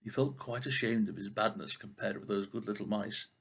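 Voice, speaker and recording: An older man reading a children's story expressively, with a husky voice and the odd stumble over a word. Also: lowish voice, fairly even intonation, fairly quick, a bit bright. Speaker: storybook reader.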